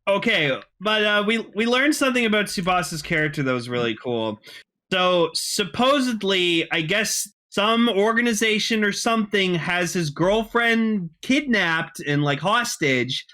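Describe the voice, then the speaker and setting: A male podcast host with a high, bright voice. He chats casually and eagerly, rambling through his recap with plenty of filler "likes". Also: lively intonation, a little slow. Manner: enthusiastic, rambling, peppered with "like". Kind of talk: casual recap chat about a TV show.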